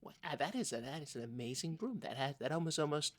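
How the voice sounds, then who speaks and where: A man's voice: on the high side, animated, quick pace, background noise, close to an everyday voice. A man playing an anxious, prim podcast host in improvised comedy, fussy and hesitant, repeating his words. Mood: nervous, polite.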